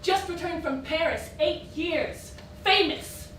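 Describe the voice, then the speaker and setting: A woman's voice: very high-pitched, slow pace, fairly bright tone, heavily acted. A woman playing the bitter, jealous, impulsive inner self of a society wife, blunt and unrestrained in a theatrical style. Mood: resentful. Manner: blunt, vehement, emotionally unrestrained. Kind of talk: theatrical stage acting. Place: indoor theatre stage, picked up from afar. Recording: roomy, distant stage recording with noise.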